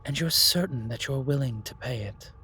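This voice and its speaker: A man playing a calm, wise, otherworldly keeper of magic in a fantasy audio roleplay, speaking formally and ceremoniously. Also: mid-range voice, normal speed, a little hiss or hum, lightly acted. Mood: calm, questioning.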